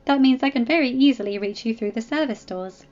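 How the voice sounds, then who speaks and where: A woman's voice: fairly high pitch, fairly quick, darkish tone, pitched above the performer's own voice, lightly acted. A woman voicing a bold, teasing young princess who talks in a light, playful, raised voice, in a dull lo-fi recording with faint music.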